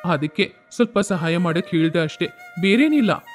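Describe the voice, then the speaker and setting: Guy doing middle aged village husband, kindly n coaxing, highish voice, music behind. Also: animated, normal speed. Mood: placating. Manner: coaxing, advising.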